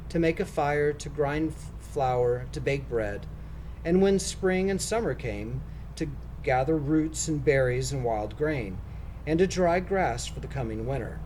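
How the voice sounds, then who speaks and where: A man reading a children's storybook aloud, narrating with expressive, deliberate phrasing. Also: mid-range voice, slight background noise, poor audio. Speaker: storybook reader for schoolchildren.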